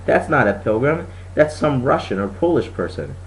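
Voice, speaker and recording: A man's voice: mid-range voice, very animated, normal speed, slight background noise, low-quality recording. A man voicing a snooty, mocking schoolgirl in a storybook reading, with a scornful, taunting delivery.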